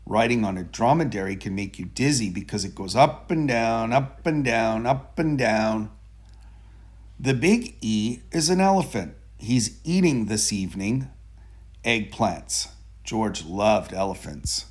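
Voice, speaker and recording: A man reading a children's picture book aloud in a deliberate, expressive storytelling manner. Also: lowish voice, breathy edge. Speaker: storybook reader.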